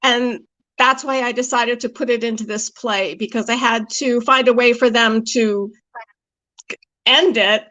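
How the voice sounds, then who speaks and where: Higher-voiced woman chatting, dry close sound. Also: fairly even intonation, unhurried, sharp tone, dry recording, dull audio. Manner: chatty, informal. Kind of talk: casual, conversational answers in an online discussion. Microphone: video call.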